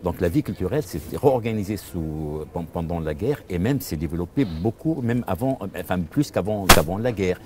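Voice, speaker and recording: A man's voice: low voice, expressive, moderate pace, few pauses, a little mellow, a little hiss or hum. An older man from Lebanon, a storyteller whose first language is Arabic, answering interview questions thoughtfully and repeating words as he searches for them. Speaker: storyteller, father. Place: outdoor festival.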